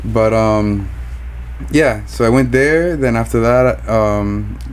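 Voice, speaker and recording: Young man, podcast guest, hesitant with fillers, a bit low-pitched, faint noise. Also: takes it slowly, rough recording. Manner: hesitant, with many "um"s and "like"s. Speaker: guest tattoo artist. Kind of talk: casual interview chat. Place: indoor studio with leather couch. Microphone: studio mic on boom arm.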